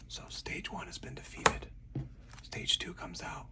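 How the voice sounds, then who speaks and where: A man's voice: measured pace, distant mic. Whispering man narrating a card game. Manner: hushed, thinking aloud through each move. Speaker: host playing a solo card game. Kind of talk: ASMR whispered game commentary.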